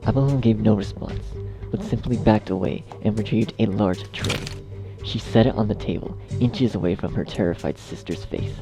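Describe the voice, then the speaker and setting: A man narrating a dark fan fiction story as a scripted reading. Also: on the low side, normal speed, over music.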